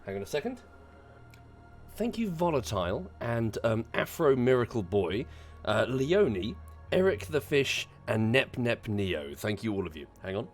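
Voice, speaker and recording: A man streaming, hoarse and congested after a chest infection, greeting chat politely and pausing to cough. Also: medium pitch, very animated, soft background music. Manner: polite, chatty, pauses to cough. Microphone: desk microphone.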